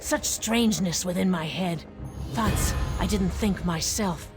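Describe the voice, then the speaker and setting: A woman's voice: fairly low pitch, a little slow, over music, close to an everyday voice. A woman playing an aging lizardfolk war hero in a fantasy game, chatty, wry and self-deprecating. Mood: musing.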